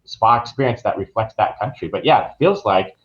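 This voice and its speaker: The sound is slightly dull and lo-fi. A man with a lowish voice talks informally as a travel professional being interviewed. He explains things in a casual, conversational way. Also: animated, a little fast, fairly bright tone. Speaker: travel professional, podcast guest.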